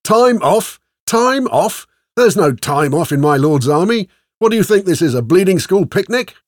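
A man voicing a loud, grumbling army sergeant with rough grammar and a barking manner in an audiobook. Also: medium pitch, fairly even intonation, brisk, dry recording, clean studio sound, natural voice. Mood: roaring anger. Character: bellowing, grumbling male army sergeant, rough-spoken bully.

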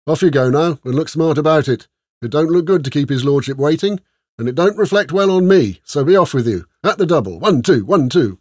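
A man voicing a loud, grumbling army sergeant with rough grammar and a barking manner in an audiobook. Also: medium pitch, fairly even intonation, fairly quick, no room sound, clean studio sound, close to an everyday voice. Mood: gruff, grudging. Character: bellowing, grumbling male army sergeant, rough-spoken bully.

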